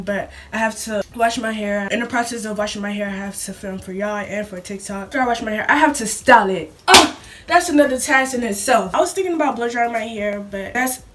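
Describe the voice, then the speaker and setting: A young woman with a sore throat and a slightly deeper voice, chatting casually and rambling as she films a vlog at home. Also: a bit bright, far from the mic. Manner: rambling, talks fast with laughs. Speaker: vlogger. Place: at home in her bathroom.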